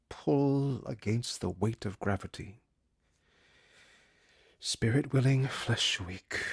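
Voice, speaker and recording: A guarded moody musician guy, slow breathy delivery, dry close sound, audio drama. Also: fairly low pitch, no echo, exaggerated voice. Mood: reflective, weary. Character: brooding, guarded male musician leaving his lover. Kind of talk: naturalistic, introspective audio drama acting.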